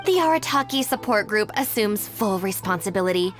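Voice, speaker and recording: An actress playing a poised, responsible young gang deputy in a video game, speaking politely, formally and persuasively. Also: female voice, medium pitch, expressive, a little fast, faint music behind, close to an everyday voice. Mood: apologetic, formal.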